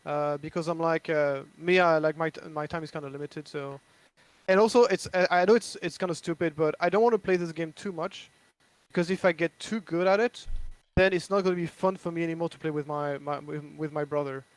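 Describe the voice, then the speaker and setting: A man's voice: a little high, fairly even intonation, brisk, smooth, no room sound, low-quality recording. A man with a French accent, chatting casually about a board game he designed, hesitant with many restarts. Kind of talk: casual chat.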